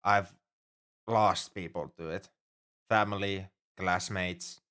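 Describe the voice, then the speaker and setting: Casting: male actor for a worrying, devoted alien shipmate, fairly deep voice, earnest and halting, formal turns of phrase, intimate ASMR roleplay. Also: fairly even intonation, a bit bright. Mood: remorseful, shaken. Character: anxious, caring male alien crewmate on a spaceship. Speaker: ship crewmate tending a sick human.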